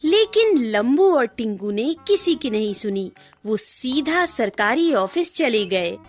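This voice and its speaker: Female narrator for kids' cartoon tales; high, lively, unhurried, with faint music behind. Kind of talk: scripted children's cartoon story narration.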